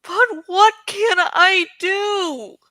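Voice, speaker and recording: Woman voicing an anguished, high-pitched grieving boy. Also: bright, forceful tone, no room sound, exaggerated voice. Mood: anguished, despairing.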